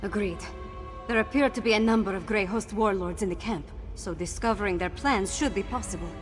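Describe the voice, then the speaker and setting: A woman's voice: medium pitch, brisk, close to an everyday voice. An actress playing a fierce, determined young noblewoman rebel in a fantasy video game, impassioned and earnest. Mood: grave, wary. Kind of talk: dramatic scripted video game dialogue. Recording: long reverb, game music behind.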